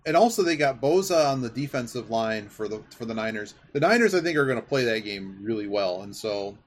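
A man's voice: medium pitch, lively intonation, brisk. A man hosting a podcast, chatting casually about sports in a rambling, enthusiastic way. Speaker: podcast host. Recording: clearly audible background noise.

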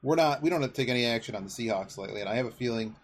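A man's voice: mid-range voice, lively intonation, very fast, slight background noise. A man hosting a casual sports betting chat, talking in a rambling, analytical way as he thinks aloud. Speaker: podcast host.

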